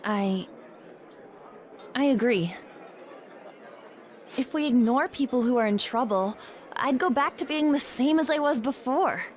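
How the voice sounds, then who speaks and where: A woman playing a sincere, sensitive teenage schoolgirl in a video game, earnest and expressive. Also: sits high, measured pace, long pauses, a faint crowd, slight background noise. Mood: earnest, hesitant. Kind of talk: English video game voice acting. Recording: music behind the voice.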